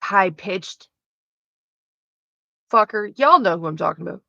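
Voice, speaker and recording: Bright-voiced, profane Canadian woman streaming. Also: medium pitch, lively intonation, unhurried, gravelly, no room sound, muffled recording. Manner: rambling and profane, loose with frequent fillers. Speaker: livestreamer. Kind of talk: casual livestream chat with viewers.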